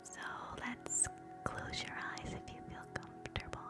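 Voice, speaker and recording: A woman hosting live ASMR in a soft, breathy whisper, gentle and soothing, moving between guided relaxation and quiet chat. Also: unhurried, over loud music. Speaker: ASMR streamer, host.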